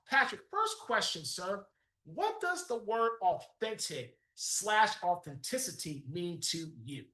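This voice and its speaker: Animated, emphatic podcast host. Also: male voice, sits high, slow pace, reverberant. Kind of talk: podcast interview with ad read.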